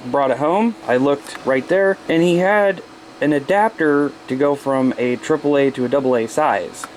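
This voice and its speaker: Guy doing a casual show and tell, kinda high voice, chatty, bit of hiss in the room. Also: moderate pace, a little mellow, low-quality recording. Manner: chatty, folksy, informal. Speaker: hobbyist showing his radio gear.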